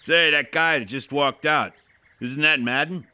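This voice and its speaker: A man playing an eager, inquisitive gentleman in a detective radio drama, earnest and full of questions. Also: on the high side, measured pace, noisy background, close to an everyday voice. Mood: excited, puzzled. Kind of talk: old-time detective radio drama acting.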